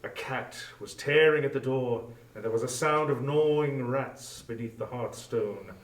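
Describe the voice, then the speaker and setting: A man narrating a story in a solemn, deliberate, theatrical dramatic style. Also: medium pitch. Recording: echoey room, distant from mic.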